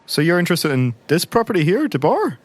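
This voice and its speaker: A man's voice: a little high, big pitch swings, brisk, no echo. A man playing a polite, probing surveyor in improvised roleplay, courteous and inquisitive. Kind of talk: improvised tabletop roleplay acting.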